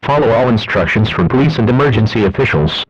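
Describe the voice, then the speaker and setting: A synthetic male announcer reading scripted emergency alerts and weather reports in a formal, impersonal, measured way. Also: mid-range voice, lively intonation, slightly rough, no room sound. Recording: dull lo-fi radio-style broadcast audio.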